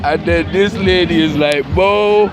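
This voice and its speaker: A man's voice: fairly high pitch, a little slow. A man live-streaming from an outdoor street parade on a handheld phone, chatting casually, laughing often and repeating words. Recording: loud outdoor parade with music and crowd.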